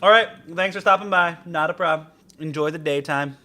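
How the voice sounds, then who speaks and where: A man doing casual live gameplay commentary, playful and excitable, often talking to himself. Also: a little high, a little fast. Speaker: game streamer.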